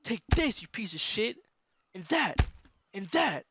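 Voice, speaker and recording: A man's voice: high voice, big pitch swings, unhurried, background noise. A man playing a fierce, threatening fifteen-year-old little brother in a fan dub, with a menacing manner. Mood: furious. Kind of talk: fan-dub dramatic acting.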